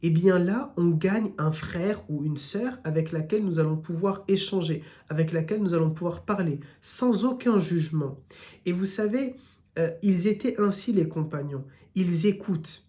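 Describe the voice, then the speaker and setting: A man's voice: high voice, moderate pace, warm tone. A man with a southern French accent giving a live religious lesson, building his points through repeated lists and speaking straight to his listeners. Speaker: Islamic teacher.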